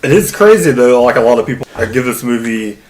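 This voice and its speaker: A man's voice: medium pitch, lively intonation, fast, a little mellow. Man sharing trivia in a roomy space. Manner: shares trivia with laughs. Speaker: podcast co-host. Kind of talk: casual podcast chat.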